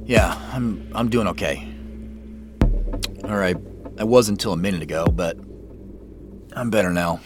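A man's voice: sits low, unhurried, long pauses, over music. A man acting in an audio drama as an uneasy, polite man on a tense phone call, speaking in a hesitant, understated way. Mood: hesitant, reassuring.

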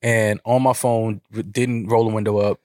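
A man co-hosting a podcast in casual chat. He is a little nasal from a cold and tells stories in an animated way, laughing often and swearing casually. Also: fairly low pitch, moderate pace, dry recording, clean studio sound. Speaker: podcast co-host.

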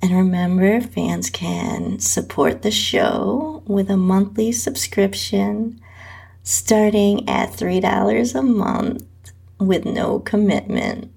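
Female narrator for adult audio fiction: very slow, drawn-out delivery, heavy vocal fry, breathy tone. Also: medium pitch, lively intonation, darkish tone. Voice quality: husky, breathy. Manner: slow, drawn-out reading with whispery dips. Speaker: host and story narrator. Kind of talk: scripted first-person erotic story narration.

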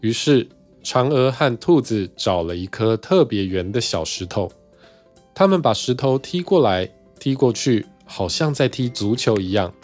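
A medium-pitched storyteller narrates a children's tale from a script. The phrasing is simple and storybook-like, with music playing underneath. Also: animated, a little slow, a little mellow.

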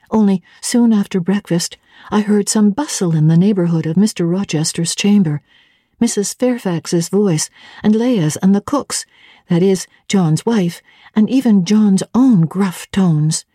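Female audiobook narrator: mellow, slightly low register, theatrical and deliberate delivery of classic literature. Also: breathy edge, dry recording, studio quality. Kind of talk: dramatic reading of classic literature.

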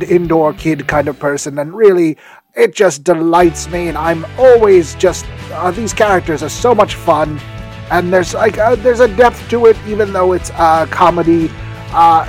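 A man with a fairly high voice speaks as a podcast host over soft music. He rambles whimsically and enthusiastically in a casual, comic promotional monologue. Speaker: podcast host recommending audio dramas.

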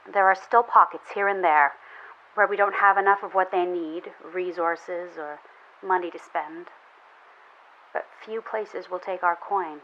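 A woman's voice: mid-range voice, muffled recording, natural voice. A woman playing a grim, defiant activist making a grave, urgent appeal from a ravaged Earth in an audio drama. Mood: bitter.

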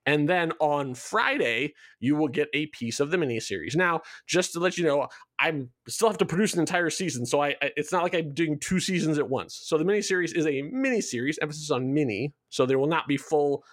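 Higher-voiced man, enthusiastic and rambling. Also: lively intonation, a little fast, few pauses, no room sound, clean studio sound. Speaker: show creator being interviewed. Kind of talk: casual promotional podcast chat.

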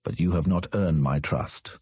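A man playing a proud, measured ruler in a fantasy audio drama, speaking formally and with deliberate command. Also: sits low, a little mellow, husky, no echo, clean studio sound. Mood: stern, measured. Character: proud, measured ruler of an outland city on his throne.

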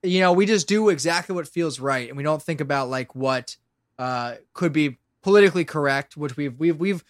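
A man's voice: fairly high pitch, normal speed, no room sound, studio quality. A man in his late twenties with an American accent chats casually on a podcast, rambling, swearing and often interrupting himself. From Pennsylvania, US. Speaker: podcast guest, show creator and musician.

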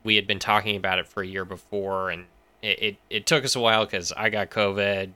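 A man's voice: on the low side, flat delivery, fairly quick, bright, forceful tone. A man explaining things as an interview guest in a casual chat, thinking aloud as he goes. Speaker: podcast writer, interview guest.

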